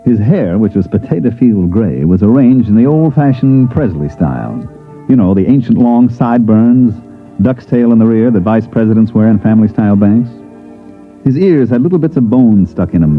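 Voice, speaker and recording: A man's voice: lowish voice, narrow, tinny audio, lightly acted. An American man playing a wry, hard-boiled government investigator, acting and narrating in a sardonic 1950s radio drama style. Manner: wisecracking, sardonic delivery. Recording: thin, band-limited old radio recording with music.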